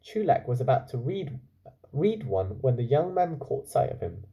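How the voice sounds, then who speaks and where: A man reads a children's story in a warm, relaxed tone with lots of rise and fall. He tells it expressively and steps out of the tale to put questions to his young listeners. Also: medium pitch, normal speed, rough recording. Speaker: teacher reading a story aloud to children.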